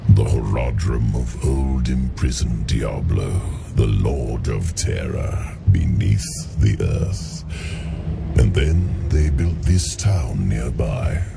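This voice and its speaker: An actor playing a mysterious, silkily sinister ancient demon in a game cutscene, speaking in a slow, smug, insinuating way. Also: male voice, very deep voice, animated, creaky, loud music behind the voice, heavily acted. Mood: ominous warning. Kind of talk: dramatic video game cutscene acting.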